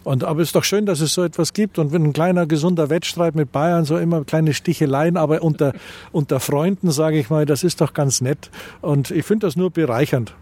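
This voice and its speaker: A man's voice: fairly high pitch, a little fast, dark tone. A middle-aged man from Bavaria with a Bavarian accent, answering interview questions as a tourism association president, hesitant with many fillers and restarts.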